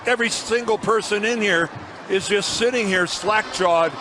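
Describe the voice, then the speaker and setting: A man commentating on college football on the radio, halting and stunned, searching for words. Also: high voice. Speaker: color commentator. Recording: broadcast with background noise.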